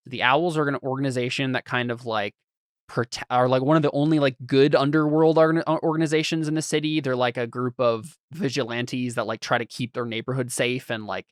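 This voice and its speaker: A man's voice: medium pitch, clear, resonant tone, no room sound, clean studio sound. A man as game master of a tabletop game, talking casually with players, with many fillers and restarts. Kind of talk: casual game chat, reading chat.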